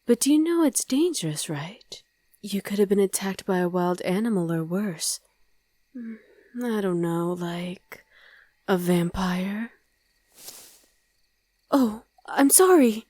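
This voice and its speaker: A woman performs a doting vampire wife in an intimate ASMR roleplay. Her voice is dark, soft and breathy, often slipping into a whisper. She is affectionate and gently teasing, heard very close and dry. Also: medium pitch, lively intonation, takes it slowly, long pauses, dry recording. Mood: teasing, concerned.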